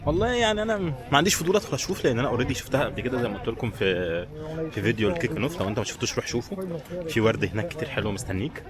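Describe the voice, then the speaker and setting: Fast-talking Egyptian man, crowd around him. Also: mid-range voice, big pitch swings. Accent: Egyptian Arabic. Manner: informal, chatty, addresses viewers directly. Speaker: travel vlogger. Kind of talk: casual walking vlog chat to viewers. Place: outdoors in a busy tourist village. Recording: crowd chatter, outdoor street sound. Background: crowd and outdoor sounds.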